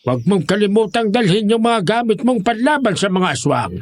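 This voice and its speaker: An actor playing the grave, commanding leader of a secret band of monster hunters in a horror audio drama, giving instructions. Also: male voice, sits high, big pitch swings, a little fast.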